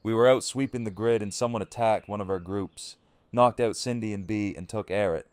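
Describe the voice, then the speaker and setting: A man's voice: lowish voice, a little fast, darkish tone, dry recording, close to an everyday voice. A man playing a serious, weary camp leader in an audio drama. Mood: grave. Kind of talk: fan audio drama acting.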